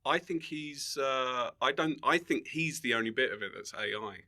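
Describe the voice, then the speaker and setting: British male podcast guest, mid-pitched with a bright, forward voice, dry and tongue-in-cheek in casual chat. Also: moderate pace. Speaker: podcast guest, comedian and filmmaker.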